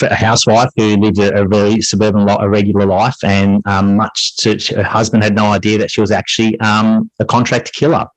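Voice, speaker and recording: A man answering interview questions conversationally as a podcast guest, reflective and halting as he pieces his thoughts together. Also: fairly low pitch, no echo, rough recording. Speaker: podcast guest, teacher and writer.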